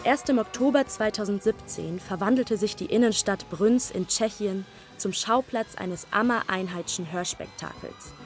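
A woman reading a scripted radio feature narration in a measured, polished way over faint music. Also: medium pitch.

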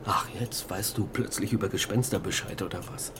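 An actor playing a middle-aged husband and father in a naturalistic radio drama, pensive and haunted, speaking in a hushed, halting way. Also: lowish voice, noisy background, close to an everyday voice. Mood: pensive, intimate. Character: brooding East German husband and father, haunted, intimate.

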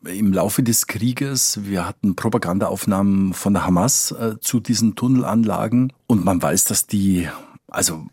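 A man's voice: lowish voice, a little slow, darkish tone, slightly rough, no room sound, studio quality. A man talking as a correspondent, explaining conversationally, thinking aloud and repeating words for emphasis. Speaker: foreign correspondent, interview guest.

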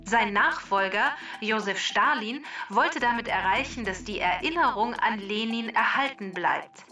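Bright, sharp female talking database, voiced by a woman. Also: a little high, expressive, distant mic, faint music behind, full character voice. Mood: matter-of-fact, instructive. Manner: precise, instructive, measured. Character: clever female talking database that explains facts. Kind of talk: scripted explanatory narration for children.

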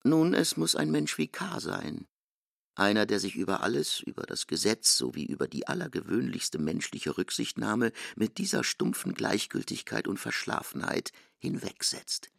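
A man's voice: fairly low pitch, sing-song, normal speed, vocal fry, a bit bright, dry recording, studio quality. A man delivers dramatic scripted literary narration in a radio drama.

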